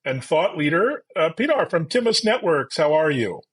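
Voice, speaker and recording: A man's voice: fairly high pitch, sing-song, normal speed, husky. A man hosting a tech interview show, introducing his guest and asking questions in an upbeat, effusive manner. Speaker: podcast host.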